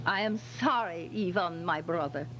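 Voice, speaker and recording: A woman's voice: fairly low pitch, normal speed, a bit bright, natural voice. A woman playing a grieving, vengeful Russian woman with a Russian accent in an old radio melodrama. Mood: grieving, bitter. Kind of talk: 1940s radio melodrama acting. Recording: noisy live convention recording with hum.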